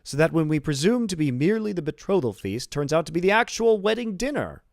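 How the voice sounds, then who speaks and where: A man's voice: on the high side, sing-song, fast talker, vocal fry, no echo, studio quality, pitched above the performer's own voice. A man voicing an uneasy, timid male guest in a storybook, with a questioning, insinuating manner.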